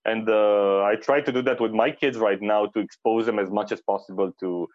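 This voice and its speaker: A man's voice: fairly low pitch, fairly even intonation, moderate pace, no room sound, muffled recording. A man from Romania talking as an interview guest, hesitant, with many fillers and restarts. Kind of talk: casual interview talk.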